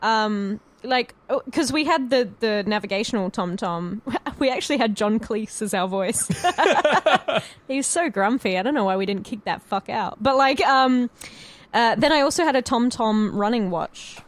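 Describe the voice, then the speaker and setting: Woman, highish pitch, very animated intonation, giggly, exclamatory, sweary, casual podcast chat. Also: moderate pace. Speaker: podcast panelist.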